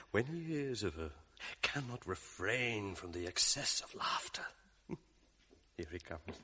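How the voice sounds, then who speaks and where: A man's voice: mid-range voice, bright, forceful tone, slightly rough, heavily acted. An actor playing a cunning, mocking male ensign who whispers sly asides, in a 1980s radio drama. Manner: sly, taunting, confiding asides.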